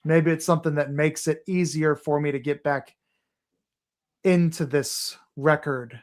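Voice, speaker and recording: A man's voice: fairly high pitch, steady tone, unhurried. Earnest rambling man from Texas. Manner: earnest, rambling, with fillers and restarts. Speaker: podcast guest. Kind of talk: casual podcast chat.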